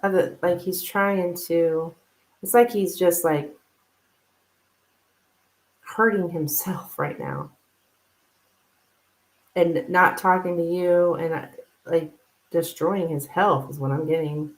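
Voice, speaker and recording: Echoey, low-voiced woman reading tarot live. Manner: informal, halting, thinking aloud. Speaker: tarot reader, live stream host. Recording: roomy, echoing live stream sound.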